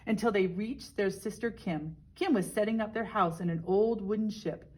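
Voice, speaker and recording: A woman's voice: medium pitch, normal speed, darkish tone, poor audio. A woman reading a children's story aloud like a teacher, expressively and with chatty asides.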